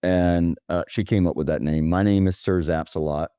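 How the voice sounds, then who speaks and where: Low, mellow-voiced man chatting. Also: fairly even intonation, moderate pace, clean tone, no room sound, studio quality. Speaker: podcast guest, automation agency owner. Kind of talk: casual conversational interview talk.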